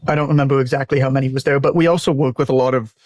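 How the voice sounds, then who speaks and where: A man's voice: medium pitch, steady tone, rapid-fire, darkish tone, dry recording. A man speaking candidly and emphatically as an interview guest, telling stories conversationally and swearing freely. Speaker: guest, business owner and author.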